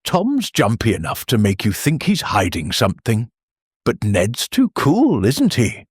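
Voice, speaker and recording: A man's voice: mid-range voice, huge pitch swings, a little slow, slightly rough, dry recording, clean studio sound, heavily acted. A man voicing a curious, eager young woman helping with an investigation.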